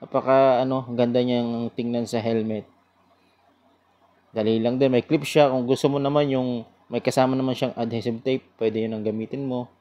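A man giving a casual product review in an informal, sales-pitch manner. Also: level tone, normal speed, lo-fi sound.

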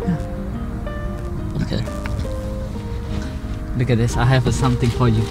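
Indonesian guy in a camper van, halting english, lots of uh's, music playing behind. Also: medium pitch, level tone. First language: Javanese. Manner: hesitant, many restarts and fillers. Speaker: travel vlogger host. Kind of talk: casual chat in halting English. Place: inside a camper van at night.

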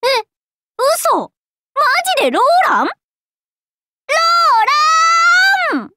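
A woman's voice: extremely high pitch, huge pitch swings, very slow, shouting, heavy background noise, exaggerated voice. A woman voicing a cheerful, scatterbrained androgynous young male knight in an anime game, playful and impulsive. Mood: excited, teasing. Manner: bubbly, impulsive, playful exclamations. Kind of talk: anime game character acting.

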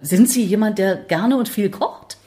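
A woman's voice: medium pitch, unhurried, dark tone. A middle-aged woman from Thuringia hosting a podcast, asking questions in a friendly, inquisitive, affirming way. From Thuringia, Germany. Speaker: podcast host, interviewer. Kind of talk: conversational podcast interview.

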